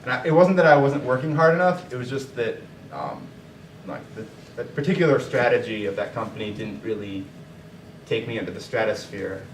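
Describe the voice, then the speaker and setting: A man's voice: medium pitch, brisk. A young man giving informal advice to students in an emphatic, colloquial way. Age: young adult. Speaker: panelist advising pre-law students. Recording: echoey, distant, faint background noise.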